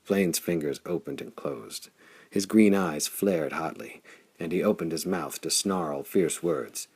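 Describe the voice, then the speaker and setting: A man's voice: deep voice, measured pace, darkish tone, slightly rough. A man reading pulp adventure fiction as an audiobook narrator, in a dramatic scripted style.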